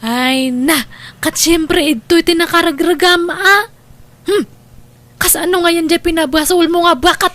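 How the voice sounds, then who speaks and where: A woman's voice: extremely high pitch, measured pace, fairly bright tone. A woman with a Filipino Ilocano accent playing an outspoken, loyal young woman in an audio drama. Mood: suspicious, scolding. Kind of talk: Ilocano radio drama acting.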